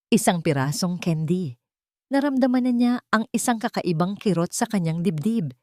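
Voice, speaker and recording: A woman's voice: deep voice, a little mellow, dry recording, clean studio sound. A woman narrating a scripted romantic story in a dramatic storytelling style.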